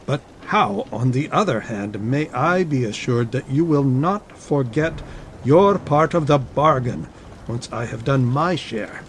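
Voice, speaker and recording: A man playing a scheming army captain in a dramatic audiobook, speaking formally with smooth menace. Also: mid-range voice, lively intonation, measured pace, slight background noise, lightly acted. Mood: calculating, bargaining. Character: scheming, coercive male army captain, a suave villain. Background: ambience under the voice.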